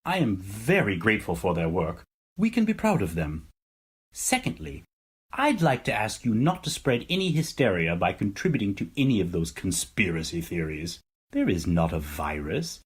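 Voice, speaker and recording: An actor playing an evasive, self-important male president in an audio drama, with a pompous, dismissive way of speaking and a husky voice. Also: fairly low pitch, big pitch swings, normal speed. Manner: pompous, dismissive politician's oratory. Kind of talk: audio drama acting, political interview.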